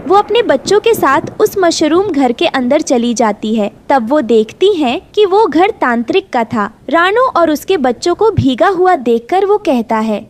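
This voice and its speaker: A woman's voice: high-pitched, big pitch swings, unhurried, few pauses, a little hiss or hum. A woman narrator reading a scripted children's story.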